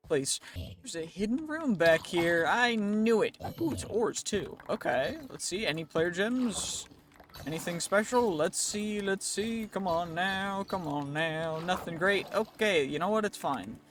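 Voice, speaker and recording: A young man with a sniffly, stuffy nose, chatting casually as he narrates his gameplay, often talking himself into calm and begging for better loot. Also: high-pitched. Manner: chatty, self-reassuring, pleading with luck. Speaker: gaming video creator.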